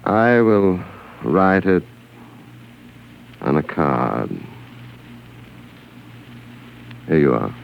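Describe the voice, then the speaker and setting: Deep-voiced, courteous Martian. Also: male voice, big pitch swings, creaky, a little hiss or hum, heavily acted. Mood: commanding, exact. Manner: formal, exact, measured diction. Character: courteous, precise, calculating male Martian posing as client. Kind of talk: 1950s radio drama acting. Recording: dull 1950s radio recording.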